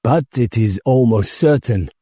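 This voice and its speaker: A grave rebel commander , acted by a male narrator, delivers formal, resolute oratory in an audiobook. The voice is mellow and husky, and the delivery deliberate. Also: medium pitch, animated, no echo, clean studio sound.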